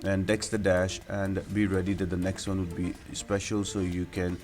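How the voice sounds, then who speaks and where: A man with a low-pitched voice talks through a mobile game strategy guide. He explains things casually and step by step, as a gaming channel host would. Music plays clearly behind his narration. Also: flat delivery, moderate pace, darkish tone. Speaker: video game guide YouTuber. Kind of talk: casual gameplay walkthrough narration. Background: music under the voice.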